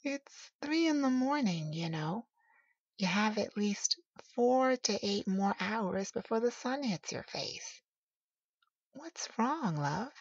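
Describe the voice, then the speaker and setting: A woman playing a tender, teasing boyfriend who soothes a sleepless lover in an intimate, slow ASMR roleplay. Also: mid-range voice, animated, breathy edge. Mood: sleepy, concerned. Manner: soothing, affectionate, playful. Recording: roomy, slightly dull home recording.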